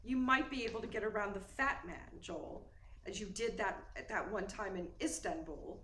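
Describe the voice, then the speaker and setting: A woman's voice: medium pitch, moderate pace, pushed and bright, lightly acted. A woman playing a demure, anxious, scheming femme fatale in a radio play, with a theatrical, hesitant, pleading manner. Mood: fearful, needling. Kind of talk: radio play acting in 1940s noir manner. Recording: roomy, echoey sound with faint noise.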